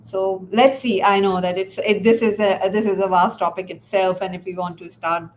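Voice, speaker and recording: A woman whose first language is Urdu, hosting a casual interview and talking in a halting way with many fillers and restarts. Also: mid-range voice, fairly even intonation, slight background noise. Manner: hesitant, many fillers and restarts, laughs often. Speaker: podcast host.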